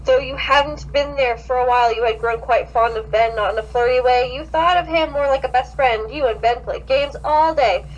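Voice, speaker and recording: A woman's voice: high-pitched, normal speed, few pauses, lo-fi sound. A woman hosting a podcast, giving a theatrical, mocking comedic reading of fan fiction. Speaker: podcast host.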